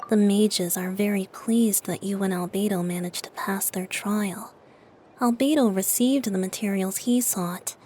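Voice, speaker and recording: A woman's voice: mid-range voice, a little mellow, a little hiss or hum, close to an everyday voice. A woman voicing a boyish young male bard for a video game fan dub, playful and theatrical with a teasing lilt.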